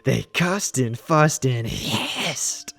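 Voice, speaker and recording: Casting: male children's book reader, slow and expressive storybook delivery, highly varied pitch. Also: creaky, no echo. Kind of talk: animated storybook read-aloud.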